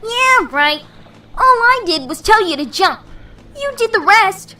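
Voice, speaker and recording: A woman's voice: extremely high pitch, sing-song, measured pace, sharp tone, exaggerated voice. A woman voicing a brash, cocky young female pegasus pony in a fan fiction audio reading.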